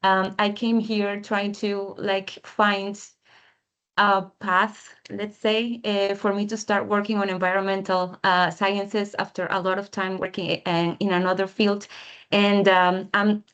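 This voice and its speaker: A young woman, a current master's student, speaking about her program in an info session, with many pauses, fillers and restarts. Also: mid-range voice, flat delivery, a bit bright, clean tone, highs cut off.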